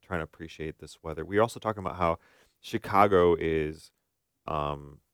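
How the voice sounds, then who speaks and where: A man's voice: rumbling low, normal speed, a bit bright, clear, resonant tone, dry recording, studio quality. An American man co-hosting a podcast, chatting casually with informal, folksy phrasing. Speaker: podcast co-host and practicing therapist. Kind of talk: casual conversational podcast chat.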